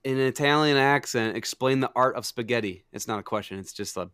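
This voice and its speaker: A man's voice: medium pitch, brisk, a bit bright, smooth, no room sound, clean studio sound. A young man hosting a podcast in casual, playful, sweary banter. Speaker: podcast host.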